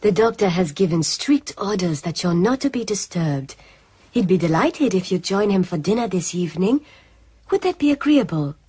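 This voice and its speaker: A woman's voice: medium pitch, lively intonation, normal speed, a little mellow, husky. A woman playing a genteel clinic matron, gushing and ominously hospitable, in a radio drama. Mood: polite, smooth.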